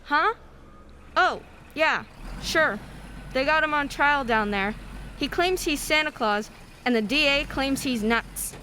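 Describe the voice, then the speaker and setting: A woman's voice: high-pitched, lively intonation, slow, long pauses, sharp tone, heavily acted. A woman playing a brash, folksy male city postal worker in an amateur radio play, slangy and breezy. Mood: excited, slangy. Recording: faint background noise.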